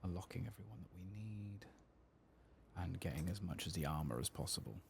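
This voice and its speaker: Hushed low-voiced man with a creaky edge. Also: expressive, slight background noise. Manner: hushed, gentle, unhurried, often slipping into whispers. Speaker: gaming ASMR streamer. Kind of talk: soft-spoken, whispery ASMR game commentary.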